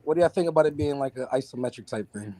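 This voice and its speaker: A man co-hosting a casual gaming podcast, teasing the others and quick to laugh, with crude jokes. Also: medium pitch, animated, mellow. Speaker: podcast co-host.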